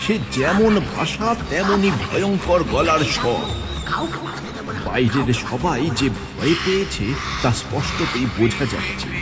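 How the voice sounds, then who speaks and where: A man narrating a scripted horror tale. His fairly high voice moves dramatically at a slow pace, in long reverb over music. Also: expressive.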